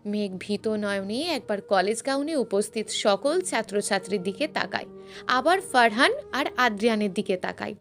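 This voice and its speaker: A woman's voice: medium pitch, lively intonation, normal speed. A woman reading a scripted story as a narrator, with dramatic, emotive delivery. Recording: music bed under the narration. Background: background music.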